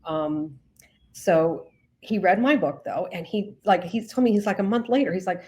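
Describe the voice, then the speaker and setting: A woman's voice: on the low side, moderate pace, dark tone. A woman novelist chatting casually as an interview guest, informal and self-effacing, feeling her way through her sentences.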